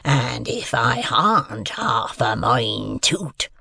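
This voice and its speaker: Storybook villain, performed by a man: ragged growling convict, gravelly, threatening, rustic, dragging out every word. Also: medium pitch, sing-song, bright, forceful tone, no echo, studio quality, heavily acted. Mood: hungry, threatening. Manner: slow, drawn-out threatening rustic speech.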